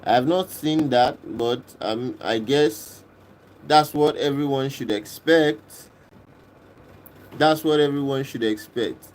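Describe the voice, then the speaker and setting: A man from Kenya hosting a livestream, reading and answering viewers' comments in a casual, unhurried way and often repeating himself. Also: medium pitch, a little hiss or hum. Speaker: livestream host reading chat. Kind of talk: casual live chat.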